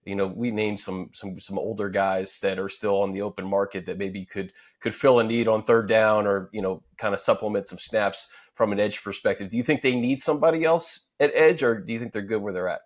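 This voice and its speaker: A man's voice: on the low side, fairly even intonation, brisk, few pauses. A man hosting a sports discussion conversationally, setting up questions for fellow panelists. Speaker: sports talk host.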